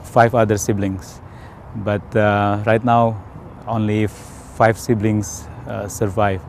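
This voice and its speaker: A man with an Indonesian accent giving an unscripted personal account in a halting, hesitant way with frequent pauses. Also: lowish voice, little pitch movement, a little mellow, a little hiss or hum. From Indonesia, South Sumatra. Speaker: interviewee telling their own life story. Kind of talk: unscripted personal testimony in English.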